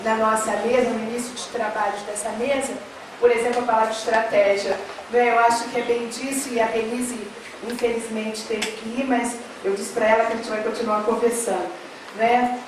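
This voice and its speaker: A woman's voice: fairly high pitch, little pitch movement, normal speed, background noise. A middle-aged woman from Rio de Janeiro with a Carioca accent touched by São Paulo, giving an earnest, filler-laden speech at a public forum, growing more emphatic as she goes. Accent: Carioca Portuguese, mixed with São Paulo. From Rio de Janeiro, Brazil. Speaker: education official speaking on a panel. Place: large hall at a public forum. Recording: echoey hall, speaker far from mic.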